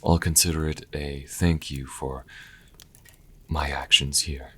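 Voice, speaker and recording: A man's voice: a bass voice, steady tone, slow pace, bright, forceful tone, breathy edge, exaggerated voice. A man playing a sassy, scholarly vampire in an audio roleplay, speaking in a wry, leisurely and articulate way. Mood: dryly obliging. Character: sassy, scholarly male vampire, dryly hospitable.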